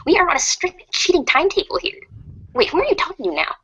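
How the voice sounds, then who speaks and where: A woman's voice: very high voice, very animated, quick pace, muffled recording, very noisy, exaggerated voice. A woman voicing a playful, sly troll girl in an amateur reader's theater, teasing and confident.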